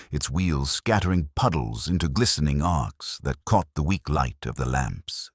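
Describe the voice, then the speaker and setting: A man reading scripted first-person mystery narration in a measured, literary storytelling manner. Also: rumbling low, no room sound, clean studio sound. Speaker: audiobook narrator.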